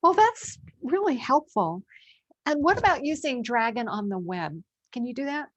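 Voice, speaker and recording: Higher-voiced woman interviewer, dry sound. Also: very animated, moderate pace, no room sound, lo-fi sound. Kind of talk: conversational interview questions.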